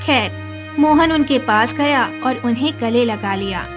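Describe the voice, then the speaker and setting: Female storyteller, high pitch, scripted cartoon narration over a clear music bed. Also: measured pace.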